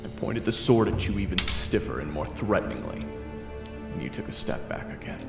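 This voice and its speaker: A man narrating a first-person story slowly and evenly, with music playing behind him. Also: deep voice, lively intonation, gravelly. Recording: echoey room with music.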